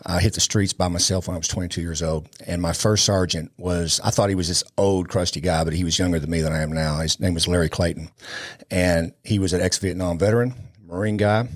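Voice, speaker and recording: A man's voice: sits low, steady tone, fairly quick. A man in his fifties speaking as an interview guest, blunt and impassioned, repeating phrases for emphasis. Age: 50s. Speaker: podcast guest, law enforcement trainer.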